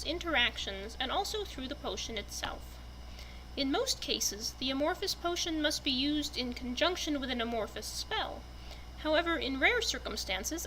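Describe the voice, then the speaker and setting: A woman giving a deliberate, storybook-like dramatic reading of a written fantasy text. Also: high voice, sharp tone, slight background noise, rough recording. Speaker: reader presenting a fantasy book.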